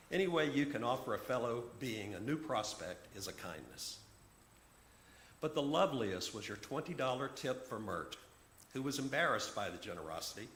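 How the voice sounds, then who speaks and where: A man voicing a wise, serene old Chinese man who speaks in formal, measured phrases within a told story. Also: medium pitch, a bit bright, natural voice. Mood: serene, sage. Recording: roomy echo, background noise.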